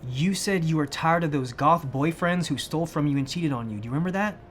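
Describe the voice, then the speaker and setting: A man's voice: a little high, fast, slight background noise, close to an everyday voice. A man playing an anxious, sensible, conventional fiancé in a comedic short film, earnest and reasoning as he protests. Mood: exasperated pleading.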